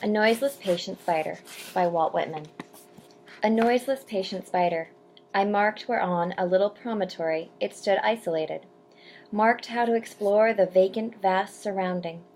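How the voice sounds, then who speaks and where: A young adult woman talks casually to her viewers in an easy, rambling way, full of fillers and side comments. Her voice sits at an ordinary middle pitch for a woman. When she reads verse aloud, she slows to a careful, deliberate pace. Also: a little mellow, a little hiss or hum. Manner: chatty and rambling, with frequent ums and asides; reads poetry slowly and deliberately. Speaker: vlogger answering viewer questions. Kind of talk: casual chat to camera.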